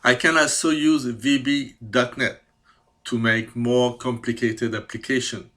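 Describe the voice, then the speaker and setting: A man in his fifties from Quebec, a native French speaker with a Quebec French accent, presenting his skills with careful, hesitant speech and many pauses. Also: mid-range voice, little pitch movement, a bit bright. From Quebec, Canada. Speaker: CAD programmer. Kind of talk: self-presentation video.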